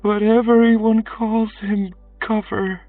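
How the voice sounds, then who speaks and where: A man voicing a frightened young beggar woman, timid and pleading, who talks in a halting stammer. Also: sits high, level tone, no room sound, distant mic, phone-quality sound, faint music behind, voice pushed up.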